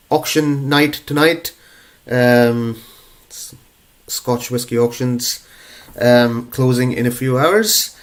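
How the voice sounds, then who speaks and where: A young adult man with a cold, hosting a live online prize draw in a casual, chatty way with plenty of ums and uhs. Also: mid-range voice. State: stuffed up with a cold. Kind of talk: casual live-stream chat.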